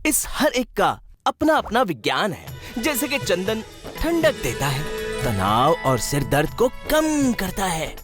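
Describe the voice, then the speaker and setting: A woman's voice: medium pitch, wildly swooping pitch, takes it slowly, over music. A woman voicing a cheerful, wise and playful magical stone who teaches children in an educational cartoon, encouraging and exclamatory. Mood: explaining. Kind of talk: animated educational cartoon dialogue.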